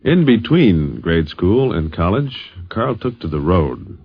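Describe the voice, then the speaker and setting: Deep-voiced American fifties radio host. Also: male voice, sing-song, takes it slowly. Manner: conversational storytelling. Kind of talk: 1950s radio documentary narration. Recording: dull 1950s radio recording.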